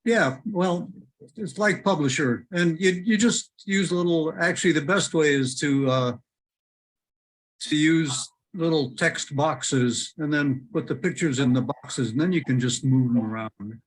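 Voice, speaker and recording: Older man, husky voice, halting casual club chat over a video call, dry humor. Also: a little high, low-quality recording. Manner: halting, searching for words, dry jokes. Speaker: club member presenting his photo.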